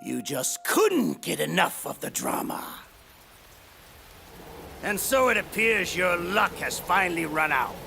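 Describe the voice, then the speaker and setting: An actor playing a sneering old villain in a video game, gloating with theatrical, mocking, drawn-out delivery and a gravelly voice. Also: male voice, high voice, very animated, bright, forceful tone, slight background noise, full character voice. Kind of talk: dramatic video game voice acting.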